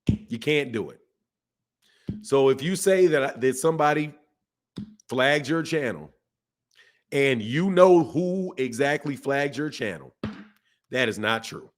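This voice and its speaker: A hoarse-voiced man runs a boxing talk stream, chatting straight to his viewers. His pitch sits in the middle range for a man. He hammers his points with repetition and peppers his talk with "bro" and "man.". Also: animated, slow, long pauses. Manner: emphatic, repeats himself, calls listeners bro and man. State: hoarse, voice shot from yelling. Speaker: boxing channel host. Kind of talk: casual livestream commentary reading chat.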